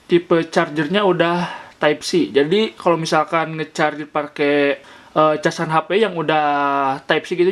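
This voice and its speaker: A man giving a vlog product review, explaining points and often rephrasing them. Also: a little high. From Indonesia. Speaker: motovlogger reviewing gear.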